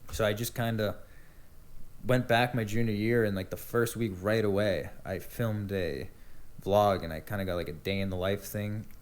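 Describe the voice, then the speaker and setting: A man's voice: lowish voice, steady tone, normal speed. A young man in casual podcast chat, laid-back and rambling, swearing freely as he tells his story. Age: young adult. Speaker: podcast guest.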